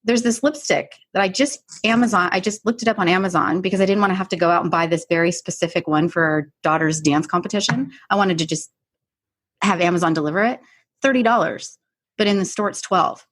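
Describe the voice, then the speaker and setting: A woman's voice: lowish voice, fairly quick. A woman hosting a casual business discussion, speaking in an informal, chatty way. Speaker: discussion host, marketing director.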